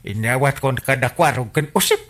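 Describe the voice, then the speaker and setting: A man with an Ilocano accent playing an excitable, complaining village man in a comedy radio drama. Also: medium pitch, a little fast, lightly acted. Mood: explaining.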